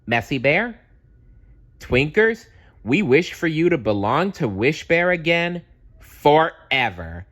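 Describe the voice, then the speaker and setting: A man's voice: on the high side, big pitch swings, takes it slowly, a bit bright, pitched above the performer's own voice, exaggerated voice. A man voicing a scatterbrained, eager and apologetic bear in a children's storybook, rambling earnestly. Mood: solemn wishing.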